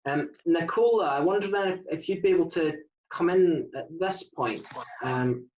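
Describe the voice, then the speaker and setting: A man's voice: on the high side, normal speed, a little mellow. A man moderating an online panel over a video call, polite and a bit hesitant. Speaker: panel host. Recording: dull, echoey, far from the mic.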